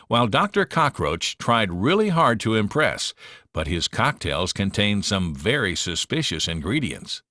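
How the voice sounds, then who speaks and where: A man narrating a children's storybook in an expressive, dramatic storytelling manner. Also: lowish voice, no room sound, clean studio sound.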